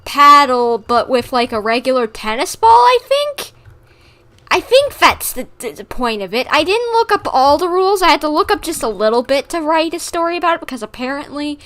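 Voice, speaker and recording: Female game streamer, slightly high voice, casual and rambling, given to animated complaining. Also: moderate pace. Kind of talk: casual livestream chat while gaming.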